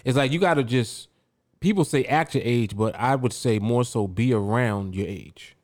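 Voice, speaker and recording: A man's voice: mid-range voice, normal speed, darkish tone, dry recording, studio quality. A man hosting a casual chat, laid-back and joking, reading viewer questions aloud. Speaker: host.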